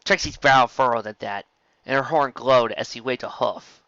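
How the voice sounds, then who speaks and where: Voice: mid-range voice, moderate pace, fairly bright tone, no echo, highs cut off. A narrator reading scripted fan fiction as an audiobook, in a storytelling style.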